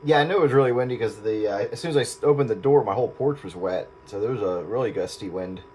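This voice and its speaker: A man's voice: on the low side, quick pace. A man chatting casually on air as a radio hobbyist, over a two-way radio. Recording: very noisy radio transmission.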